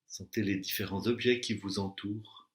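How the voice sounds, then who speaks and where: Low-voiced man guiding meditation, echoey room. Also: fairly even intonation. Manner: slow, deliberate instructions with long pauses. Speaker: yoga nidra and meditation teacher. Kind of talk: guided relaxation meditation.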